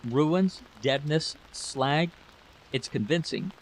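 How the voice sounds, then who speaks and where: A man's voice: fairly high pitch, lively intonation, takes it slowly. A man voicing a troubled, evasive husband in a story reading, guarded and brief, on a noisy recording. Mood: evasive, doubtful. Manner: guarded, murmuring, short sentences. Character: troubled, evasive husband drafted into a scout party.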